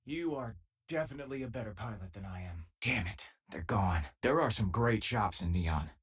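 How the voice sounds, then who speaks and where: An actor playing an easygoing, wisecracking spacefaring companion in a video game, talking in a casual, wry, conversational way. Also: male voice, fairly low pitch, animated, moderate pace, slightly rough. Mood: easygoing, friendly. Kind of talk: video game voice acting, conversational companion lines and combat callouts.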